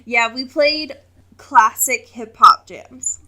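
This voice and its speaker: Casting: young female podcast co-host, higher-pitched and piercingly bright, casual, self-mocking, a touch theatrical. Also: lively intonation, slow. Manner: self-mocking, playfully dramatic. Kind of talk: casual comedic chat.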